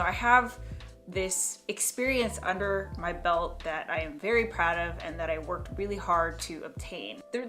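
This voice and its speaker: A woman's voice: lowish voice, a bit bright. A young woman vlogger thinking aloud in a reflective monologue, hesitating, restarting and trailing off. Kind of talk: reflective talking-head vlog monologue.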